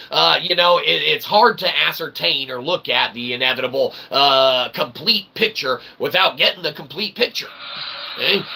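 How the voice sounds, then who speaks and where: A man's voice: fairly high pitch, creaky, pushed and bright, breathy edge, rough recording. A man delivering a rambling philosophical monologue as a streamer, in wordy, pseudo-academic phrasing, emphatic and punctuated with check-in okays and the odd swear. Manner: verbose, pseudo-academic wording with tag okays and occasional swearing. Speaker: streamer, self-styled author and philosopher.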